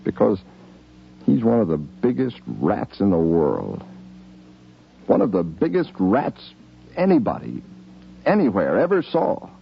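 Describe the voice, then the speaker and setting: An actor playing a stern, world-wise American police chief in a 1950s radio drama, commanding and plain-spoken. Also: male voice, lowish voice, huge pitch swings, measured pace, creaky, long pauses, exaggerated voice. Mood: grave, instructive. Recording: noisy, muffled 1950s radio recording.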